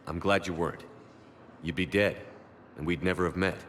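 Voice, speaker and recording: A man's voice: deep voice, fairly even intonation, normal speed, fairly bright tone, long reverb tail, slight background noise. An actor playing a steadfast male military commander in a sci-fi game, speaking in a firm, earnest, rallying way. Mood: steady. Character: resolute military commander, steadfast male leader in space war. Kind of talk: video game voice acting.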